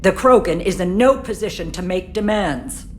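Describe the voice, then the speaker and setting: A woman's voice: fairly low pitch, lively intonation, moderate pace, slight background noise, lightly acted. A woman playing a haughty, bitter alien political leader with an imperious, disdainful manner in a video game. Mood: haughty, scornful. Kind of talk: cinematic video game acting. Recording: dramatic game music behind.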